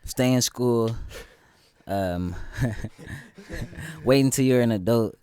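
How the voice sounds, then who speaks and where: A man's voice: mid-range voice, extremely slow. A man from the San Francisco Bay Area, a guest musician chatting casually, with lots of ums and restarts. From San Francisco Bay Area, California. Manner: relaxed, many ums and restarts. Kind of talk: casual conversation.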